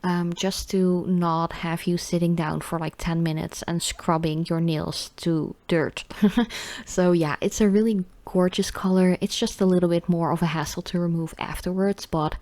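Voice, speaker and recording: A woman's voice: fairly low pitch, fairly even intonation, normal speed, a little mellow, no room sound. A young woman with a Dutch accent, chatting casually with many fillers as she reviews nail polish. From Netherlands.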